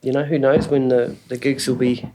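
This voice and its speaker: A man's voice: mid-range voice, level tone, normal speed, dark tone, poor audio. A man hosting a podcast interview, asking questions with plenty of ums and short encouraging replies. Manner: hesitant with many ums, frequent encouraging backchannels. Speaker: podcast host. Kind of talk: casual interview chat.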